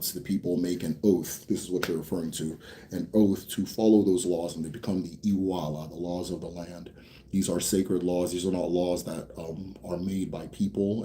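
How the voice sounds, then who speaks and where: A young American man hosting a casual Q&A for viewers. He talks bluntly, swears freely, rambles a bit, then slows down to explain. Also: sits low, fairly even intonation, warm tone, slight background noise. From United States. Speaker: host answering viewer questions. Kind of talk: casual livestream Q&A.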